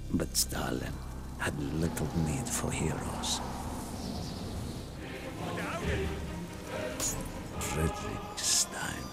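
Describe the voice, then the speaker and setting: A very deep, gravel-throated Russian soldier narrates a war game. He is middle-aged, bitter and deliberate. His echoing voice sits under loud, swelling music. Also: exaggerated voice. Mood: bitter, mournful. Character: embittered middle-aged Russian soldier recounting wartime betrayal. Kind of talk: dramatic scripted game narration.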